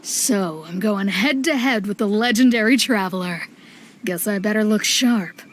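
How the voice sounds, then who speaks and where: A woman acts a straight-talking female fighter from an anime game. She sounds matter-of-fact and sporting in an average-pitched voice. There is faint ambience behind her. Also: measured pace, a little hiss or hum, natural voice. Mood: gracious, sporting.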